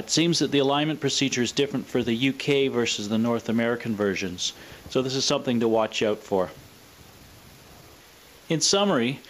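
Measured man narrating a technical overview. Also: medium pitch, low-quality recording. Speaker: presenter of a vintage radio demonstration.

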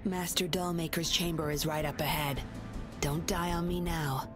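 A woman's voice: low-pitched, steady tone, normal speed, lightly acted. A woman playing a cool, confident female agent in a video game, composed and authoritative, with a sly edge. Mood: cool, commanding. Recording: game audio with music behind.

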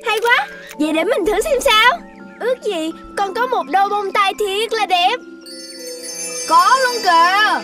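A little childlike cartoon animal, excitable and wishful, in an extremely high voice. It sounds set back from the mic, with loud music underneath. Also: female voice, unhurried, far from the mic. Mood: excited.

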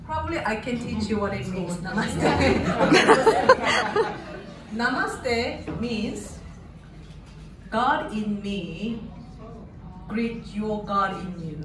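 A middle-aged woman lecturer, who speaks English as a foreign language, talking into a handheld mic in a university classroom. She speaks slowly and deliberately and is animated and laughs often. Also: fairly high pitch, background noise. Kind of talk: classroom lecture, lively interaction with students. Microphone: handheld microphone. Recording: roomy classroom audio from a far camera. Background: student chatter.

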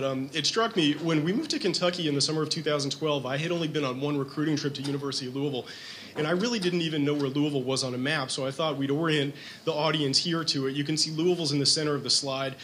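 A man's voice: a little high, steady tone, fast talker, a bit bright. A man in his forties gives a hospital lecture in an easy, self-deprecating voice, full of ums and little restarts. He speaks into a podium microphone in a conference room, with faint room hiss underneath. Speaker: physician lecturer.

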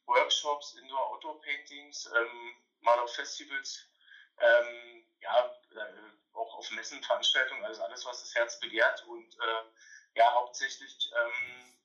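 Man in his thirties, guest by phone, bright edgy tone, muffled lo-fi line, halting with fillers. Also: mid-range voice, fairly even intonation. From Berlin, Germany. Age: 30s. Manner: hesitant, many fillers. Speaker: guest artist. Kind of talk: casual interview. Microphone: phone call. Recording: dull lo-fi phone call.

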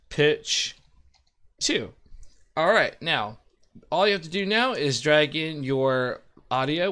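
A man in his thirties giving an informal, upbeat screen-recorded software tutorial as host. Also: medium pitch. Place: indoor room at a desk.

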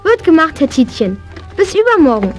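Eager excitable girl, very high voice, children's radio play, music behind. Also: normal speed. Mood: earnest, soft. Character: spirited horse-loving young girl, eager and excitable. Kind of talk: German children's audio drama acting.

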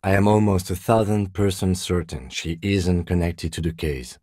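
A man acting a reserved, dutiful police detective in a video game, speaking in a measured, formal, deadpan way with dry courtesy. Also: low voice, dry recording. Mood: measured, matter-of-fact. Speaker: voice actor.

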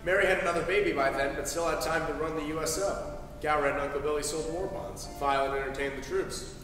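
A man's voice: a little high, fairly even intonation, a bit bright, recorded from a distance, natural voice. Echoey solemn male narrator acted by a man, music behind. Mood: solemn storytelling. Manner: measured, declarative storytelling. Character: solemn male heavenly narrator briefing an underling. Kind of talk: live radio play narration. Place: on stage in a school auditorium.

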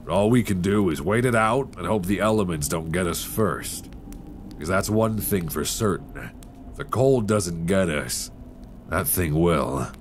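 Very deep-voiced, weary expedition survivor played by a man. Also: unhurried, a little hiss or hum. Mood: grim, resigned. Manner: sighing, low-key, addressing one listener as friend. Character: weary, grim male survivor on icebound expedition ship. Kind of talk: horror ASMR audio roleplay, close intimate acting. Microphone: close binaural microphone. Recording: close binaural with steady storm-like ambience.

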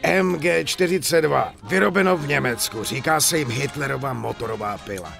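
An actor playing a chatty, hearty middle-aged gun dealer and war veteran in a video game dub, talkative and foul-mouthed. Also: male voice, medium pitch, gravelly, fairly bright tone, soft background music. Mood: boastful, admiring. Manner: talkative, foul-mouthed, showy sales patter. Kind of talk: dubbed video game cutscene acting.

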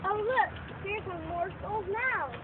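A very high, childlike voice for an eager young urchin puppet, acted by a stage performer. The sidekick is chatty, naive and cheeky. The recording is thin and noisy. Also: a little slow, narrow, tinny audio. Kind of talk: live puppet theater acting.

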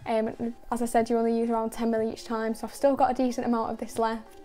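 A young woman giving a calm, measured voice-over about washing a car, with many casual fillers. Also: a little high. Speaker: car detailing channel host. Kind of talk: voice-over narration of a car wash.

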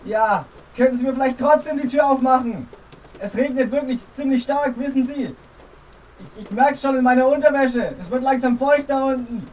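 An actor playing a nervous, overeager young rookie detective who stammers and chatters. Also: male voice, very high voice, moderate pace, darkish tone, slight background noise, low-quality recording. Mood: pleading. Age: young adult. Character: eager nervous young male rookie cop. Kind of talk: comedic audio drama.

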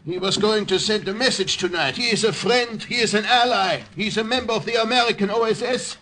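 A man's voice: sits high, brisk, bright, forceful tone. A man acting as an older Dutch uncle in an old-time radio drama, stubborn, protective and emphatic. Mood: indignant. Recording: old radio recording with hiss.

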